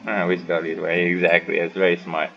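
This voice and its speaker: Low creaky guy rambling through a game playthrough, kinda dull mic, music behind. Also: little pitch movement, quick pace. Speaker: gaming streamer. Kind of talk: casual game playthrough commentary.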